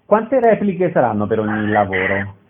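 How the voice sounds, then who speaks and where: A man's voice: lowish voice, big pitch swings, moderate pace, heavy background noise. A man working as an interviewer, putting short questions and making announcements. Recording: dull, band-limited radio sound.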